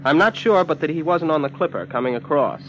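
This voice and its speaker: An actor playing a well-mannered young millionaire heir in a 1950s radio drama, speaking formally and hesitantly with careful wording. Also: male voice, a little high, lively intonation, fast, a bit bright, dull audio. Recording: noisy old radio recording with hum.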